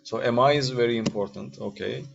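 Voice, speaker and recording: A man's voice: mid-range voice, fairly quick, creaky. A man with a Turkish accent giving an informal, interactive lecture in English as a second language, with hesitant phrasing and frequent checking questions. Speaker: radiology lecturer. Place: online video-call class. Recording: dull lo-fi online call, faint noise.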